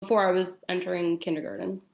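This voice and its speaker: A woman in her thirties from Brooklyn, a guest telling her own story casually and haltingly. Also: on the low side, steady tone, vocal fry. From Brooklyn, New York, United States. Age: 30s. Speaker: interview guest. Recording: echoey room.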